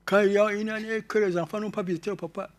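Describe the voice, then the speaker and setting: A man from Rwanda with a Rwandan French accent, speaking to an audience in a halting, explanatory way, often repeating words and restarting. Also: sits high, steady tone. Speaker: conference speaker. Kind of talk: lecture-style talk to an audience.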